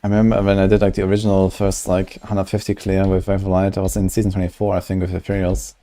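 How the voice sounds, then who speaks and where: Low-voiced man musing over a game. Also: monotone, fast, warm tone. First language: German. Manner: musing, calculating aloud. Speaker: streamer. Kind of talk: casual gameplay commentary.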